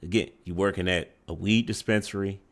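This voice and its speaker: A man with a very low, deep voice gives reaction commentary on a dating clip. He speaks haltingly, full of fillers and restarts, with a judgmental tone. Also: steady tone. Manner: halting, many fillers and restarts, tags "right?".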